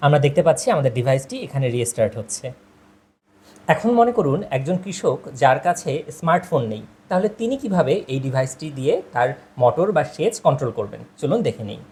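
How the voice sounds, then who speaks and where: A man's voice: fairly high pitch, big pitch swings, fast, a little mellow. A man narrating a product demonstration, explaining step by step and addressing viewers directly. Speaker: product presenter. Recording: clear background noise, ambient sound.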